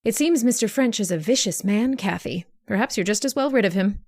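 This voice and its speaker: An actress plays a sly, genteel schemer in an old-time radio drama. Her creaky voice is dryly recorded, and she confides in a careful, deliberate way as if frail and worried. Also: mid-range voice, animated, darkish tone, no room sound, clean studio sound. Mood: sly, controlled. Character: sly, scheming sister feigning frail worry.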